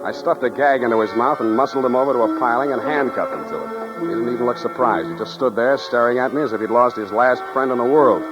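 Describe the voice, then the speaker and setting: An old, muffled radio drama with music, featuring a man acting a world-weary private detective. He speaks at a medium pitch in a clipped, wry, tough 1940s style, wisecracking as he goes. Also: fast, natural voice. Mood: wry narration. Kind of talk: 1940s radio acting with first-person narration.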